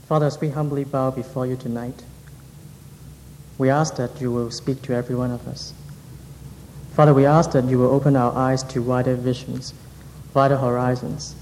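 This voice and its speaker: A man leading a congregation in earnest, measured prayer. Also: mid-range voice, level tone, a little mellow, a little hiss or hum. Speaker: interpreter and prayer leader. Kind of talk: interpreting a sermon, then leading prayer. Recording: reverberant hall.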